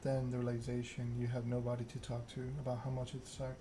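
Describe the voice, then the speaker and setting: A man's voice: mid-range voice, monotone, fast, warm tone. Man narrating with little inflection, noisy recording. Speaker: video game streamer reading game text aloud. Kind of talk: ASMR narration, reading a story aloud. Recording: noticeable background noise.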